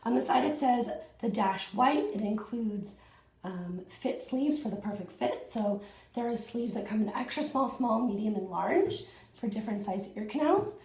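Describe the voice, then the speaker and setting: Hoarse, excitable woman vlogger. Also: fairly high pitch, normal speed, reverberant. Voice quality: husky. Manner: chatty and excitable, addresses viewers directly. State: hoarse, losing her voice. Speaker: fitness vlogger. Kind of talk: casual unboxing vlog. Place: small indoor room at home.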